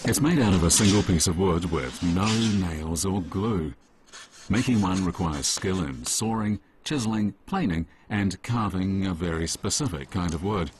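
Deep-voiced male documentary narrator. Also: slight background noise. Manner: measured, even delivery. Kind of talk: scripted narration. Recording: voice-over with music bed.

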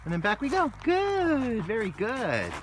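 A man's voice: very high-pitched, wildly swooping pitch, a little slow, slight background noise. A man with an American accent, a dog trainer explaining obedience steps while walking his dog on a hiking trail and calling commands to it. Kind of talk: outdoor training demonstration.

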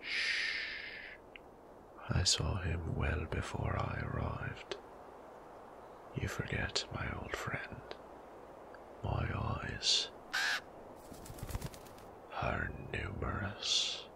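A man voicing a sly ancient male vampire who teases and threatens in a slow, silky, creaky whisper for an ASMR audio roleplay. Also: rumbling low, huge pitch swings, pitched below the performer's own voice, heavily acted. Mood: menacing.

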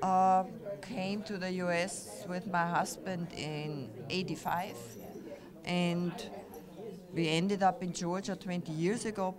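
A woman in her sixties from Austria introducing herself matter-of-factly at a senior center. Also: fairly low pitch. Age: 60s. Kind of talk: self-introduction. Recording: crowd chatter behind.

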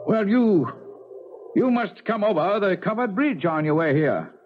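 An actor playing a folksy, gossipy old New Hampshire local in a rambling rustic manner for a 1970s radio drama. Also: male voice, sits high, expressive, a little slow. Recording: lo-fi 1970s radio, faint noise.

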